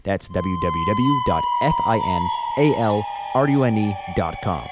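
Animated low-voiced man, podcast host. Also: measured pace, very noisy. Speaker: host and audio drama producer. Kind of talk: scripted credits and podcast announcement.

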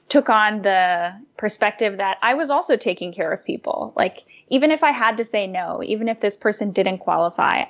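A young adult woman being interviewed, giving thoughtful, animated answers with fillers and restarts. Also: medium pitch. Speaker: guest, former diplomat and food blogger. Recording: muffled lo-fi audio.

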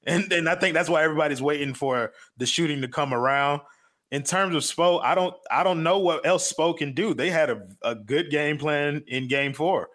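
A man's voice: a little high, moderate pace, a bit bright. Man chatting on a sports panel. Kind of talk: casual sports discussion.

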